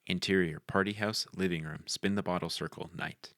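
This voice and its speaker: Casting: male screenplay narrator, very low and creaky, recorded dry. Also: steady tone, fairly quick, a bit bright, no echo. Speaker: narrator reading stage directions. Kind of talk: scripted narration at a film table read.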